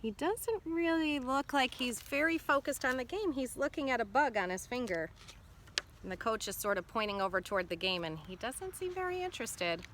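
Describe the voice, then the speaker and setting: Bright-voiced woman teacher reading aloud. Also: sits high, animated, moderate pace, slight background noise. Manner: instructive, checking in with questions. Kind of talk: expressive children's read-aloud with explanatory asides.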